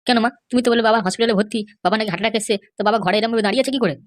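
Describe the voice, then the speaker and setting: A woman's voice: fairly high pitch, very fast, no room sound, close to an everyday voice. A woman voicing a dutiful, bewildered married young son in a comedy cartoon, earnest and questioning.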